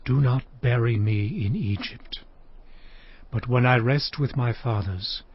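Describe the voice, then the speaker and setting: An actor playing an aged, weary Hebrew patriarch near death in a solemn, halting audio Bible drama. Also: male voice, medium pitch, a little hiss or hum, lightly acted. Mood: solemn pleading. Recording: dull lo-fi audio.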